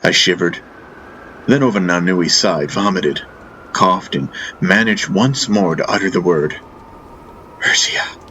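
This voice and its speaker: Low-voiced man narrating fantasy, forceful. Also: measured pace, slight background noise, rough recording. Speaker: audiobook narrator. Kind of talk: dramatic scripted first-person fantasy narration. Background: faint music.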